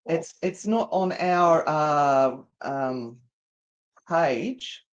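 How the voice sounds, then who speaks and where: A woman's voice: low-pitched, animated, extremely slow, dull audio. An Australian woman co-hosting a live show in casual, easily sidetracked, giggly banter. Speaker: co-host of a paranormal live show.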